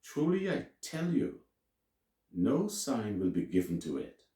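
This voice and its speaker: Man, solemn Bible reading, very animated intonation, unhurried pace, emphatic pauses, roomy echo. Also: lowish voice. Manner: deliberate, expressive, emphatic delivery with dramatic pauses. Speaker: Bible reader and teacher. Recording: roomy, echoing sound.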